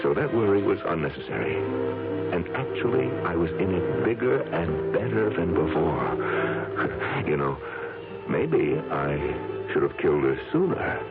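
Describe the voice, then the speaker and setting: An actor playing an unhinged, guilty husband in a 1970s radio drama, theatrical, halting and edgy. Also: male voice, extremely low pitch, sing-song, full character voice. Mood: smug. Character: unhinged husband, smug guilty murderer feigning innocence. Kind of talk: 1970s radio drama acting and confessional narration. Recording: tinny old radio broadcast with music.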